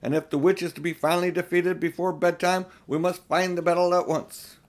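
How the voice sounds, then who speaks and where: A kingly storybook lion, voiced by a male reader, calling out with firm authority. The voice is raised in pitch, commanding and sure. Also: level tone, brisk. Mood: resolute.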